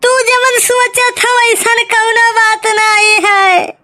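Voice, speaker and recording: A fretful village wife from a comic cartoon, with an Awadhi accent. Her voice is very high and piercingly bright, and she draws out her plaintive complaints slowly. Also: little pitch movement, heavily acted. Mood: pleading. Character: shrill, fretful village wife in domestic squabble. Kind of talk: exaggerated comic cartoon acting.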